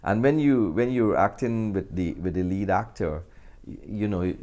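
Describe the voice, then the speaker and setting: Deep-voiced man in casual interview. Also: darkish tone. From Guyana. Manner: halting, repeats phrases. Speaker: actor, interview guest.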